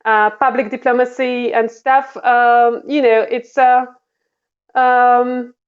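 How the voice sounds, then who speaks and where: A woman with a Polish accent, her voice set a little high, talks haltingly and restarts often. The audio sounds dull and lo-fi, like a remote call. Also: flat delivery, smooth. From Poland. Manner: halting, many fillers and restarts. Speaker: diplomat guest. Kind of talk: interview answers.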